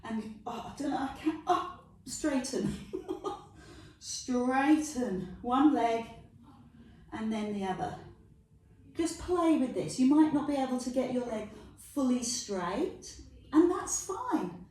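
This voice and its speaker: A woman's voice: high voice, animated, takes it slowly, long pauses, darkish tone. A middle-aged woman with a London accent leading a Pilates workout, counting reps aloud, cheering the viewer on and making jokey asides. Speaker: Pilates instructor. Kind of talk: guided workout instruction. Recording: echoey room, voice from a distance.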